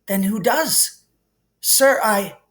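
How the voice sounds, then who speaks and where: A man's voice: sits high, very slow, fairly bright tone, voice pushed up, exaggerated voice. A man voicing an anxious, earnest young schoolboy in a storybook reading, with an urgent, questioning manner. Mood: insistent. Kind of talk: audiobook character reading.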